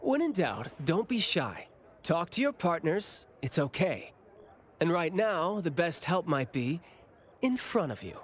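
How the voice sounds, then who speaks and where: Anime game officer, playful, teasing, drawn-out theatrical phrasing, lively swinging intonation. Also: medium pitch. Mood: confident, easygoing.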